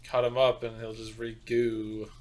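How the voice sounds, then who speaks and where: Young dude podcast host, regular pitch, casual chat, swears and jokes a lot. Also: male voice, completely flat delivery, a little slow, darkish tone. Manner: profane, joking.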